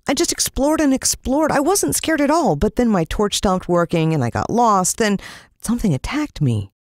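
A woman with an American accent voicing a scared, worn-out, injured young boy in a storybook, plaintive and excitable. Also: on the high side, normal speed, dry recording, studio quality, voice pushed up. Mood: weary, plaintive.

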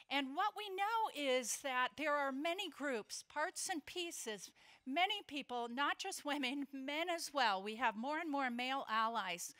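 An older woman speaks from a convention stage with a high, bright voice. She is earnest and emphatic, and she slows down to land her key points. Her tone is lively and clear. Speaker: keynote speaker. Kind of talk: conference speech. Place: convention hall.

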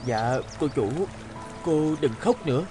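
A man's voice: on the high side, measured pace, music in the background, close to an everyday voice. A man voicing a humble, earnest young servant scholar in a folk-tale cartoon, deferential and hesitant. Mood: subdued. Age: young adult. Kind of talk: animated folk-tale dialogue.

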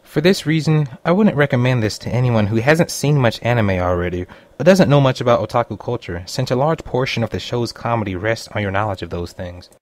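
Casting: male online reviewer, mid-pitched voice, scripted narration delivery. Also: expressive, a little fast, few pauses, dry recording. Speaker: anime reviewer.

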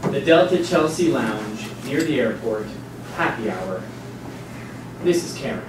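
A man narrating a scripted literary text in a live stage reading, wry and deliberate. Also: mid-range voice, animated. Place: small indoor theatre stage before an audience. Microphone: far camera. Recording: distant, very echoey, noisy live stage recording.

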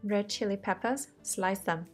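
A woman from Thailand narrates a home cooking lesson in voice-over, explaining each step in a friendly, reassuring way. Her voice sits at an ordinary middle pitch, and soft music plays faintly underneath. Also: a little slow. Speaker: cooking show host.

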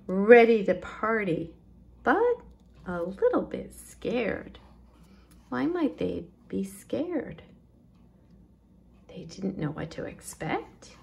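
A woman reading a children's picture book aloud as a storytime librarian, expressive and deliberate, leaning into the rhymes and rhythm. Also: mid-range voice, gravelly.

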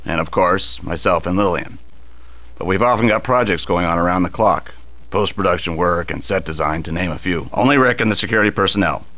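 A deep-voiced, forceful male studio boss from a video game. He is harried and impatient, answering in a brusque, businesslike way. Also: fairly quick. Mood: busy, matter-of-fact.

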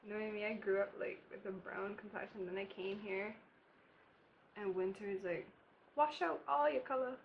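A woman's voice: medium pitch, normal speed, muffled recording, a little hiss or hum. Chatty young woman from Barbados. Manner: chatty, casual, laughing asides while applying makeup. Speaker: beauty vlogger. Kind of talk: chatty makeup tutorial. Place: bedroom, indoors.

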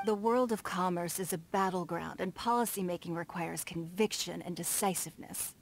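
An actress playing a stately, shrewd noblewoman in a video game, formal, measured and self-assured, with prominent S sounds. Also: lowish voice, a little hiss or hum, close to an everyday voice. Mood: assured, composed. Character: poised, stately noblewoman and shrewd business magnate. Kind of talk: video game character dialogue. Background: background music.

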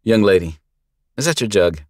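A man voicing a calm, steely male lawman who speaks dryly and pointedly. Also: lowish voice, moderate pace, no echo, clean studio sound, pitched above the performer's own voice, natural voice.

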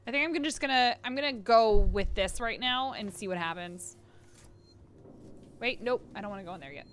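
A woman's voice: a little high, expressive, brisk, a bit bright, a little hiss or hum. A young woman live streaming a video game with casual, giggly commentary and some easy swearing.

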